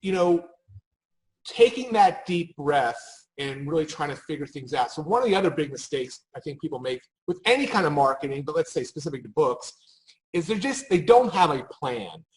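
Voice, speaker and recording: This is a man with a fairly high voice talking in an unscripted panel. He speaks as a marketing expert, making emphatic points through anecdotes and often doubling back to restart a phrase. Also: sing-song, moderate pace, rough recording.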